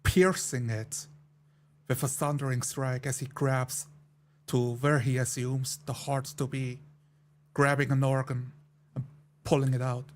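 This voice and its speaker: Slow, even-toned man roleplaying. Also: medium pitch, a little hiss or hum. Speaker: tabletop game player. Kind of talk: deliberate narration of his character's actions.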